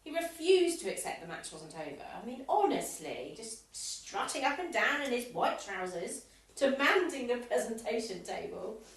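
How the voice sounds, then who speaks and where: Woman acting an animated, indignant club gossip. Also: a little high, moderate pace. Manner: emphatic, exasperated storytelling. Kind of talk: amateur radio comedy acting. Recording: very echoey room, far from mic.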